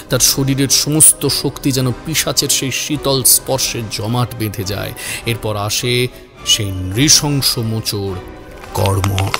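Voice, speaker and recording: Male horror story narrator over music. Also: mid-range voice.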